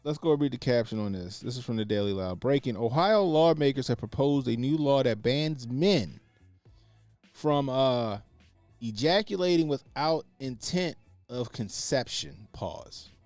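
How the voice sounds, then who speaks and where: A man hosting a live reaction stream, cursing freely and laughing at what he watches. He drops into low mutters and then bursts out loud. His voice is dry and close, with faint music behind. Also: lively intonation, unhurried, no echo. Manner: crude, laughing, swings from mumbled asides to loud outbursts. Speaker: stream host. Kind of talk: casual reaction commentary.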